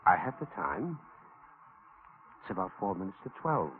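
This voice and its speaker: An actor playing a brisk, polite but sinister stranger who calls himself a doctor, in clipped 1940s radio style. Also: male voice, low voice, sing-song. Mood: probing, courteous. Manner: clipped, urgent, courteous. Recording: tinny, noisy 1940s radio recording.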